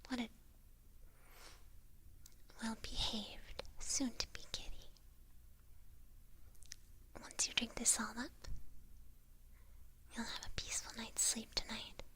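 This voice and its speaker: Slow whispering witch, played by a woman. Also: on the high side, little pitch movement. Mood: soothing, sly. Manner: slow, coaxing, teasing whisper. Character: teasing, coaxing evil witch with a sly playful temper. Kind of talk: whispered ASMR roleplay.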